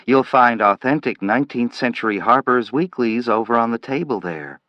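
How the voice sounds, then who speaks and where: A man voicing a polite young woman receptionist in a story reading, speaking smoothly and courteously. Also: mid-range voice, expressive, normal speed, dry recording, dull audio, natural voice.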